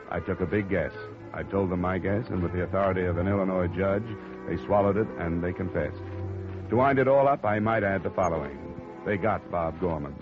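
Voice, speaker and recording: Actor as wry, cocky insurance detective, lowish voice, music under, dull old radio. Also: male voice, lightly acted. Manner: wisecracking, hard-boiled. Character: wry, confident man, an insurance investigator. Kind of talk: 1950s radio drama acting and narration.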